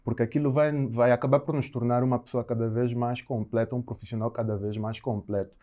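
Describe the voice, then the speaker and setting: A man hosting a podcast, chatting casually and agreeing often in a relaxed way. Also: medium pitch, fast talker. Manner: affirming, frequent agreement, relaxed. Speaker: podcast host. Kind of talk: casual chat.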